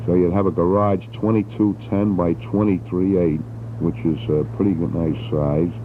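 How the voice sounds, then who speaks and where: A man's voice: sits low, steady tone, normal speed. A middle-aged man, rambling casually and jokily as he records a spoken letter to his family on a 1960s home cassette. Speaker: father recording a taped letter. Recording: muffled, noisy 1960s home cassette tape.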